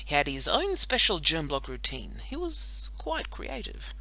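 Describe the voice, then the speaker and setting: A woman narrates a story for children. Her voice is very bright and crisp-edged, and her pitch moves around in a lively way. The delivery is playful and dramatic. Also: measured pace. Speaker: audiobook narrator.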